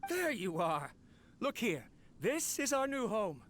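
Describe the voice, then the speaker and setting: A man's voice: high voice, very animated, takes it slowly, fairly bright tone, slight background noise, full character voice. A man voicing a proud, self-important inventor in a video game, declaiming fondly to his pet. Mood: proud, playful.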